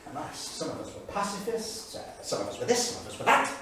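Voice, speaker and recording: An actor playing an anguished, indignant 1930s English diarist, emphatic and declamatory, in a staged reading. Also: male voice, high voice, very animated, quick pace, fairly bright tone. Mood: regretful. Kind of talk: dramatic staged reading of a journal. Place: small theatre studio. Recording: echoey theatre, distant mic, faint noise.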